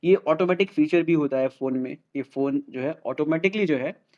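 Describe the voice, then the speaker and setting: Indian man, fairly high voice, didactic explainer host, repeats key points, slightly muffled lo-fi sound. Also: normal speed, darkish tone. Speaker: host of a legal explainer channel. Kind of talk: explainer to camera, mixing Hindi and English.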